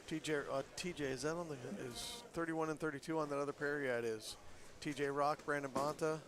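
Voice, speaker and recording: A man's voice: fairly high pitch, steady tone, a little fast. A man chatting casually as a bowler on the commentary, stuffy-nosed, joking and laughing easily. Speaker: bowler joining the stream commentary. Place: bowling alley. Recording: crowd noise from a bowling alley. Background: bowling alley crowd noise.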